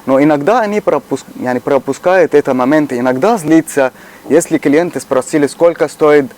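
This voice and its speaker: Egyptian-accented man, Arabic native, earnest and halting, voice a touch high. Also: a little mellow. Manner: earnest, halting, slow to find words. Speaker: shopkeeper. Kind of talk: casual chat.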